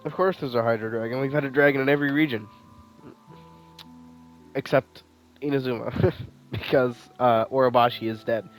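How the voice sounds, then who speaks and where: A male gaming streamer talks casually as he plays, giving running commentary in a mid-pitched voice. Soft game music sits faintly behind him. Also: steady tone, moderate pace, pauses often, poor audio. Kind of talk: casual let's play commentary.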